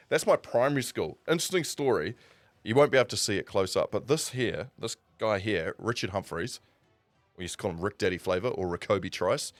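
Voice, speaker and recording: Low-voiced New Zealand man telling stories. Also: dry recording. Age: 40s. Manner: rambling, conversational, self-correcting. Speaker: streamer and broadcaster. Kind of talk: casual live-stream storytelling.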